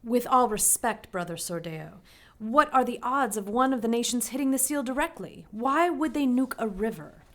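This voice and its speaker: An actress playing a skeptical but respectful cult sister in an audio drama, questioning formally. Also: female voice, on the high side, expressive, moderate pace, a bit bright.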